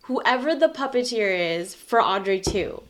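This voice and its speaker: A woman's voice: mid-range voice, animated, a little slow. Chatty woman explaining at length. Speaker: podcast co-host. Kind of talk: casual chat over cocktails.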